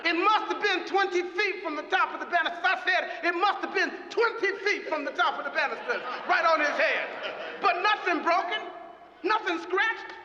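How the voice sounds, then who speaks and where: An actor playing an emotional, rambling preacher who introduces his brother in a dramatised speech, halting and repeating himself with fervour. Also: extremely high pitch, pushed and bright, heavily acted. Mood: excited storytelling. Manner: halting, repetitive, impassioned. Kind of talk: dramatised public speech from a pulpit. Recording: echoey noisy hall, dull sound, crowd.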